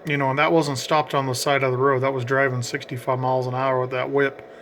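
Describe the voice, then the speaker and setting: A man's voice: medium pitch, level tone, fast, very noisy. A man giving casual, explanatory commentary as he narrates a radio range test.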